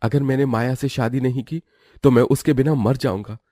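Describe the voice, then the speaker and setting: A man's voice: mid-range voice, steady tone, moderate pace, mellow, no echo, clean studio sound, natural voice. A man voicing a callous, selfish young husband with an emphatic, self-pitying manner in a cartoon story. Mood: determined.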